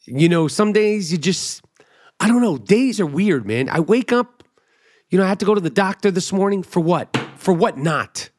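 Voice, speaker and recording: High-voiced man rambling on a podcast. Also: lively intonation, normal speed, a little mellow. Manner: rambling, profane, self-mocking. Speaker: podcast host. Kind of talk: casual comedic monologue.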